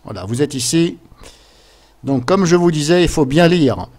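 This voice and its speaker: A man presenting a casual screen-capture software tutorial, explaining things step by step in an informal, chatty way. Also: fairly high pitch, lively intonation, normal speed. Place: voice-over recorded at a computer during screen capture.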